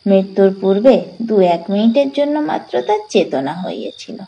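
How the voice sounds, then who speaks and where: A woman narrating a literary story as a storyteller, with deliberate, dramatic phrasing. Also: medium pitch, very animated, mellow, slight background noise, rough recording.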